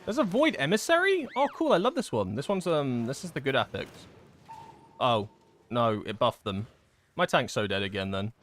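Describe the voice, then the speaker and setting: A young man giving casual livestream commentary while gaming, chatty and self-deprecating with excitable outbursts, his voice going hoarse. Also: mid-range voice, normal speed, a little hiss or hum. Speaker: gaming streamer.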